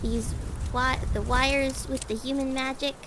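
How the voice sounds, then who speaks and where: A nervous little female scientist creature played by a woman in an audio drama. She speaks slowly in a high voice, stumbling and stammering over words, with clear noise behind her. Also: fairly even intonation, fairly bright tone. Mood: nervous recall. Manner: stammering, unsure. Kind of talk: fan audio drama acting. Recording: noisy, with storm ambience.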